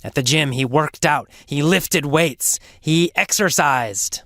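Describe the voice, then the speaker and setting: An English teacher telling a mini story for learners in a slow, emphatic and theatrical way, often asking the listener questions. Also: medium pitch, fairly bright tone, no room sound, clean studio sound. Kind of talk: mini story lesson narration.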